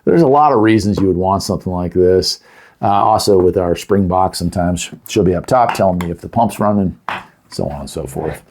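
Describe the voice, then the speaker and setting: Low-voiced, folksy man reviewing gear. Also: animated, fairly quick, a little mellow. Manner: folksy, chatty, plain-spoken. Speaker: host of a product review channel. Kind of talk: casual unscripted product review.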